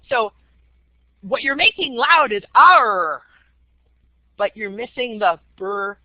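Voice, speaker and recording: A woman's voice: mid-range voice, no echo. A woman giving a webinar presentation to teachers, talking conversationally with frequent "um" fillers. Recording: dull, dry webinar audio.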